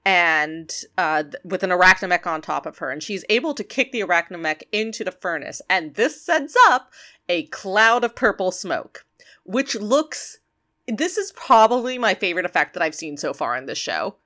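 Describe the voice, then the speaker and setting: A woman co-hosting a casual podcast, recapping an episode with bright, eager energy. She gushes over her favorite moments and uses lots of ums and likes. Her voice is clear and bright. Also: fairly low pitch, expressive, normal speed. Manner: enthusiastic, gushing, many fillers. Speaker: podcast co-host.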